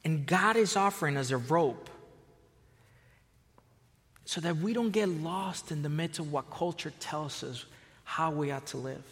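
Costa Rica-born male preacher, high voice, repeats his starts, big echoing hall. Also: measured pace, long pauses, fairly bright tone. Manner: storytelling, repeats words when starting sentences. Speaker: pastor. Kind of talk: sermon.

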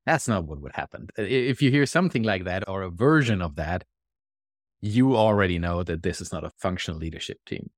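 Low-voiced German-accented man. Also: no echo, studio quality. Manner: measured, explains with examples and quoted asides. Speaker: guest, executive consultant and author. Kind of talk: conversational interview.

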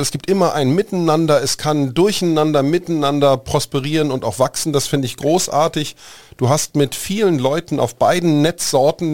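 A man's voice: a little high, a little mellow, no echo. A man hosting a podcast, playful and theatrical in how he introduces and signs off. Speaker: podcast co-host.

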